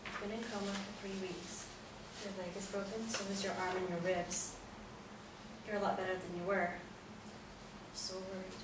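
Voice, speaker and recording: A woman's voice: medium pitch, little pitch movement, moderate pace, close to an everyday voice. An actress in a play reading playing a loving, worried mother, tender and reassuring at her son's bedside. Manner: tender, reassuring, simple explanatory phrases. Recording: echoey room, distant mic, noisy.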